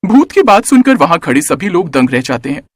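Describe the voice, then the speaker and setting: Lively high-pitched male storyteller. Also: brisk, dry recording, clean studio sound. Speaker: narrator. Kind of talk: animated scripted children's story narration.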